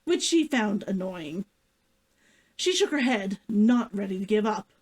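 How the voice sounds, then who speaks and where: A woman hosting a read-along stream, talking to viewers and reading a story as an excitable vtuber. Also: medium pitch, unhurried, breathy edge, low-quality recording. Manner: giddy, giggly, gushing and repetitive while chatting.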